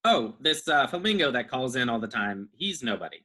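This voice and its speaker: Woman doing a low, deadpan male bird. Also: brisk, full character voice. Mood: exasperated. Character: exasperated, deadpan male bird at radio station. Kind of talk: comedy radio table read.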